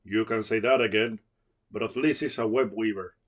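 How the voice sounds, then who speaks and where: A man voicing a tired, cautious young male survivor in a dramatic story reading, lightly gruff and firm. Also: fairly low pitch, steady tone, normal speed, close to an everyday voice. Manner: firm, matter-of-fact.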